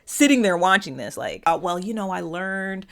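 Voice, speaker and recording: Low-pitched woman chatting through ideas. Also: expressive, moderate pace, a bit bright. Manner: conversational, checks in with "right?". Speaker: host of a personality typology show. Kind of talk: casual unscripted explainer monologue.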